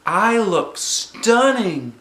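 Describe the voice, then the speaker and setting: A man's voice: high voice, big pitch swings, very slow, a bit bright, reverberant, voice pushed up, full character voice. A man voicing a sassy first-grade girl in a storybook, blunt and theatrically exaggerated. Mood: delighted.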